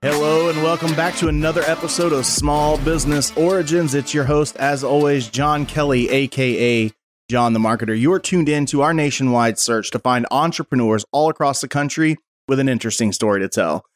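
A man hosting a podcast interview, chatty and friendly, reading sponsor copy smoothly. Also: fairly high pitch, a little fast, soft background music. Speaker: podcast host. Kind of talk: casual interview chat.

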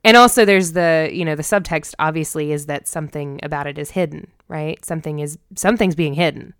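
Low-voiced woman chatting on a podcast. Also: a little fast, clean tone, dry recording, clean studio sound. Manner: informal, sweary. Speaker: podcast co-host. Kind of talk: casual podcast chat.

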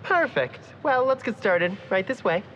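A woman's voice: medium pitch, lively intonation, measured pace, a bit bright. A woman playing an efficient, polite tour guide in an audio drama, with a presentational manner. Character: chirpy, efficient female printing-plant tour guide. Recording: dull sound, faint noise.

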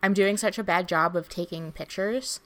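A woman co-hosting a casual podcast chat, talking in a relaxed, friendly way. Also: lowish voice, normal speed. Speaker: podcast co-host.

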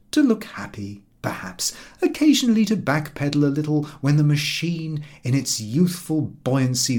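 Animated male audiobook narrator, swooping pitch. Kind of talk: expressive scripted narration of comic prose.

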